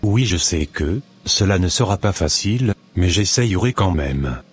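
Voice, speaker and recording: An earnest, devout young man in a cartoon drama voiced by a man, speaking in a low voice. He is a devoted son in love, and music plays behind his scripted lines. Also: animated, a little slow, husky, heavily acted. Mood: smitten, earnest.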